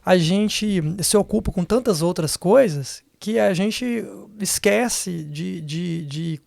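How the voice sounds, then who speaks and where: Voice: mid-range voice, takes it slowly, creaky, a little mellow, no room sound. A Brazilian podcast host with a Brazilian Portuguese accent, asking long, rambling questions full of fillers. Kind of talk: casual podcast interview.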